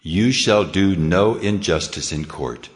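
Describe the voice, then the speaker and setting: The booming Lord God. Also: male voice. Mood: solemn. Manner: slow, weighty proclamations. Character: God, the almighty Lord, stern and solemn. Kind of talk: dramatized scripture reading. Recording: long hall reverb over music.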